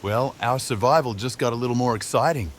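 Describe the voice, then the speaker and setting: A man voicing a weary young man, exasperated and forceful when he speaks up. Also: medium pitch, brisk, a little hiss or hum, pitched above the performer's own voice, close to an everyday voice. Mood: wry.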